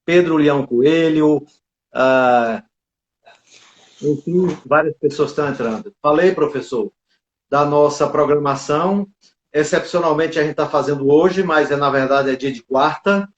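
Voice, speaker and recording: A man's voice: a little high, measured pace. A man hosting a live video interview, chatty and full of fillers and asides. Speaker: live stream host. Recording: echoey, dull lo-fi stream audio.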